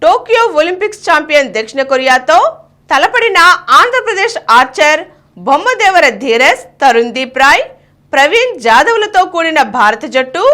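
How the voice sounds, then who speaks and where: A woman's voice: sits high, pushed and bright. A woman news reader, delivering scripted bulletins and features.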